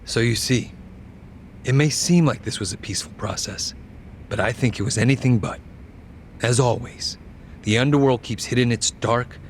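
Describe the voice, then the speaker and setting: An actor playing a polished, calculating young governor, speaking in a composed and articulate way in a game's interview scene. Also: male voice, medium pitch, a little hiss or hum, lightly acted. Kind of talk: televised interview in a game cutscene.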